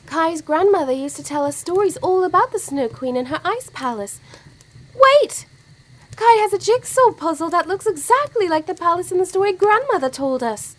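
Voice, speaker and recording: A woman playing a gentle, polite young girl searching for her lost friend in an audio play. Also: extremely high pitch, animated, moderate pace, low-quality recording. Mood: eager. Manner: earnest, polite.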